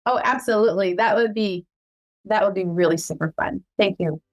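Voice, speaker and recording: A woman's voice: medium pitch, moderate pace. A middle-aged woman whose first language is Cebuano, hosting a podcast in a chatty, proud way and laughing often. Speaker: podcast host. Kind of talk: casual family chat.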